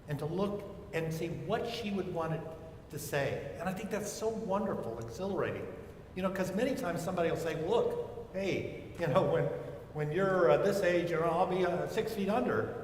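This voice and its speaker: An older man speaking reflectively as a psychotherapist on a discussion panel. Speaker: panelist, psychotherapist and former chaplain. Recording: echoey hall, rumbling background noise.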